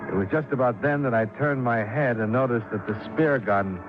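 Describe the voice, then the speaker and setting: An actor playing a wry, tough American insurance investigator in 1950s radio drama, narrating in a dry, clipped, sardonic way. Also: male voice, mid-range voice, moderate pace, background noise, lightly acted. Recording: muffled old radio recording.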